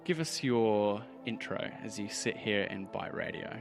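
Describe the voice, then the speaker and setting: A man's voice: lowish voice, a little slow. A man working as game master, narrating a role-playing game in a descriptive, theatrical storytelling way, with music behind him.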